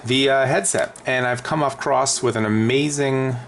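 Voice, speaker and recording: A man's voice: mid-range voice, fairly even intonation, moderate pace, fairly bright tone, slight background noise. A man talking casually and unscripted through a hands-on how-to as an aviation vlogger.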